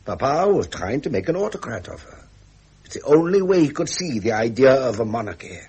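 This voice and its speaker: An actor playing a worldly, frustrated middle-aged royal heir in a 1970s British radio drama, with a husky British voice and formal, dryly ironic phrasing. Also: male voice, medium pitch, sing-song, a little fast, a little mellow. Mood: earnest, frustrated.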